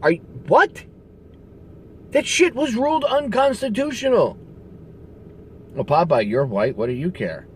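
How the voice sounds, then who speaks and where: Sarcastic man ranting with lively pitch. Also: unhurried, long pauses, slight background noise. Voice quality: profane, sarcastic, emphatic. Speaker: political commentary host. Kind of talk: ranting commentary.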